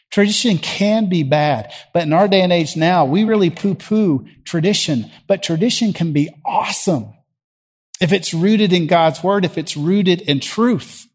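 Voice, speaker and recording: A middle-aged man with a Southern US accent preaches from a church platform, his voice sitting fairly high for a man. He talks to the congregation the way he would in conversation, asking them questions. He swings from loud emphasis down to quiet. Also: breathy edge. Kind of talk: sermon.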